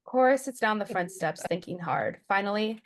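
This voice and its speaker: Narrator for table read: woman, medium pitch, reads scripted stage directions. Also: animated, moderate pace.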